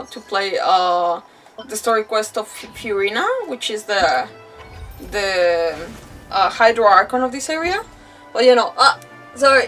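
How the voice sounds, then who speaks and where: A woman with a very high voice chats casually as she streams a game. She rambles, restarts her thoughts and giggles easily. Music plays behind her, and the voice sits a little away from the mic. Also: fairly bright tone, recorded from a distance. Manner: rambling, self-interrupting, giggly. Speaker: livestreamer, gamer host. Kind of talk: casual livestream chat.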